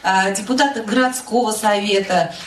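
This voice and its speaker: A woman moderating a public meeting, introducing the guests in a measured, formal way. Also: medium pitch, slight background noise. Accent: Russian. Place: meeting hall. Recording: echoey hall, mic at a distance.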